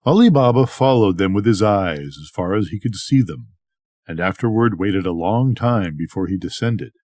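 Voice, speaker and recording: An older man narrates a bedtime tale in measured, expressive storytelling. His pitch moves around a great deal, and the recording is close and dry. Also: dry recording. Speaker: storybook reader.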